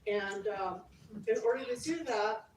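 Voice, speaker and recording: An American woman standing up from the audience with a loud, forthright, cheerful comment. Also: on the high side, unhurried. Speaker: audience member, community organizer. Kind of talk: spontaneous comment from the floor. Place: community meeting room. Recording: echoey room from a distance, clear background noise.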